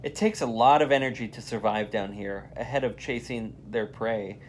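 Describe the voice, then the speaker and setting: A reader playing a knowledgeable woman science teacher from a children's storybook. The delivery is calm and explanatory, in a middle pitch with faint noise. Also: moderate pace, lightly acted. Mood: calm, explaining.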